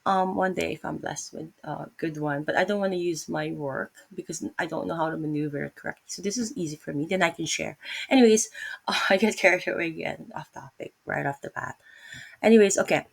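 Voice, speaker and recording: A woman's voice: low-pitched, brisk, creaky, breathy edge. A woman with a Filipino accent giving a casual Bible talk on her laptop, rambling and correcting herself with lots of fillers. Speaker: vlogger teaching Bible study.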